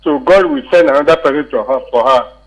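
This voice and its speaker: A man's voice: medium pitch, fast talker, poor audio. A man with a Nigerian accent calling in to a radio show to give his opinion, earnest and insistent, repeating himself. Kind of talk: giving opinion on a call-in show.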